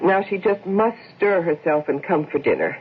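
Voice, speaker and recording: A woman's voice: on the low side, very animated, moderate pace, phone-quality sound. A woman acting an earnest, insistent married daughter and housewife in a radio drama. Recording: thin, hissy old radio broadcast.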